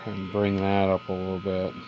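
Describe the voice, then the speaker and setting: A man's voice: deep voice, monotone, normal speed, dull audio. Man explaining repairs over background noise. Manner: explains step by step, pointing things out. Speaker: radio repairer demonstrating alignment. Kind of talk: casual hands-on explanation. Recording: background noise, live workbench recording. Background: noise of a radio being worked on.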